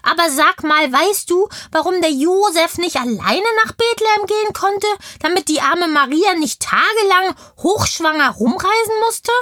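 A woman's voice: very high-pitched, expressive, normal speed, few pauses, bright, forceful tone, no room sound, clean studio sound, exaggerated voice. A woman voicing a cheeky, curious kindergarten girl who chats in childlike slang in a children's audio play. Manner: chatty, childlike slangy German.

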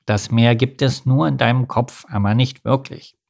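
A man's voice: medium pitch, steady tone, moderate pace, vocal fry, darkish tone, lightly acted. A man voicing a grumpy old male carp with a cold in a storybook reading, sighing and patronizing. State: sniffly cold.

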